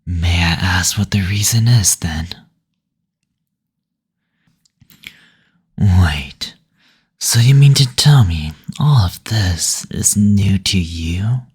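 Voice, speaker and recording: Low, breathy, teasing male demon, acted by a man. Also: expressive, full character voice. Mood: surprised, intrigued. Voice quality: breathy, husky, near-whispered. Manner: slow, coy teasing with endearments and drawn-out hums. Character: teasing, courtly male demon receptionist, suave and seductive. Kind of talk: intimate scripted audio roleplay.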